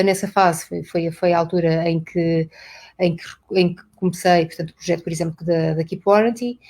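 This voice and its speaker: A woman's voice: fairly low pitch, darkish tone. A woman from Portugal with a European Portuguese accent, a company CEO speaking as a guest in a live online interview, hesitant, with many fillers and repeated words. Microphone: video call.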